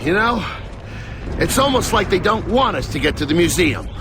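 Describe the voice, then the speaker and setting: A man's voice: sits high, big pitch swings, normal speed, background noise. A man playing a wry, weary army lieutenant in a military video game, with a sardonic way of talking. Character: wry, frustrated young army lieutenant leading a squad. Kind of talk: video game acting and story narration.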